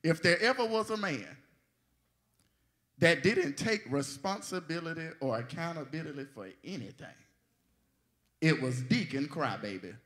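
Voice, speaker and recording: A man's voice: on the high side, animated, moderate pace, long pauses. A man narrating a church stage play like a sermon, in a dramatic, declamatory way. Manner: declamatory, dramatic, swelling to shouts. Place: large church hall, at a lectern. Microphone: handheld microphone. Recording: live church hall recording with music.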